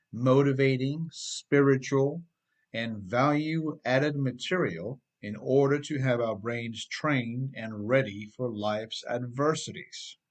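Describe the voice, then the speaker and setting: Middle-aged man, slow pace, medium pitch, emphatic deliberate delivery, scripted motivational narration. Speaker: author reading his own work.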